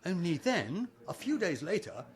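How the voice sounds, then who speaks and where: Man acting creaky, gallant older gentleman. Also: high-pitched, very animated, normal speed. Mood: indignant, chummy. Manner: hearty old-fashioned gentlemanly talk. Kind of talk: radio play acting.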